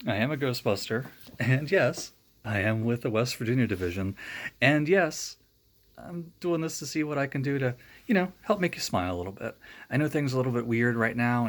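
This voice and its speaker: A man reading a children's storybook aloud in a friendly way, adding joking asides. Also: mid-range voice. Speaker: storybook reader.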